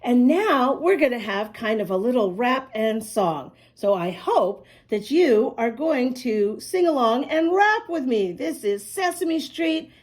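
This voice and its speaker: Woman children's storybook reader: high, slightly husky voice, emphatic sing-song delivery with explanatory asides. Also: expressive, a little slow, hardly any pauses, a little mellow. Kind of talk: expressive read-aloud to young children with asides.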